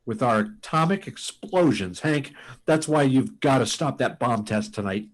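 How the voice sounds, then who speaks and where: An actor playing a desperate, insistent rocket pilot in an old-time radio science fiction drama, speaking in halting, urgent bursts. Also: male voice, mid-range voice, expressive.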